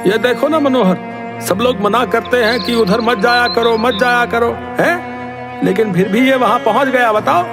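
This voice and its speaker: A man's voice: very high voice, moderate pace, music in the background. A man voicing an exasperated, gossipy villager who scolds and warns emphatically in a storybook drama. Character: exasperated gossipy male villager warning of danger.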